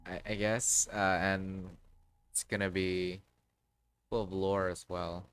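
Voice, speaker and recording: A man giving casual, halting livestream commentary on a game, rambling and often trailing off mid-thought. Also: deep voice, flat delivery, fairly bright tone, clear, resonant tone. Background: background music.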